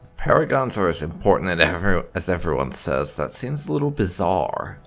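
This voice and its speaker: Deep, creaky man doing gameplay commentary. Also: big pitch swings, a little fast, soft background music. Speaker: gaming channel host. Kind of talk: casual roleplay let's-play commentary.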